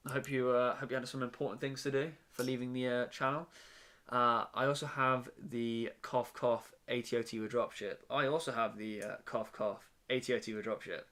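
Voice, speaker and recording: A man's voice: medium pitch, steady tone, normal speed, sharp tone. Rambling young man streaming. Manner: rambling, chatty, many fillers and restarts. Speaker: live streamer building a brick set. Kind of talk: casual live-stream chat. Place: bedroom.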